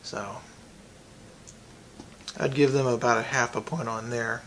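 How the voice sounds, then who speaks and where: A man giving a casual, unscripted product review, thinking aloud in a halting way with pauses. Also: mid-range voice, fairly even intonation, a little hiss or hum.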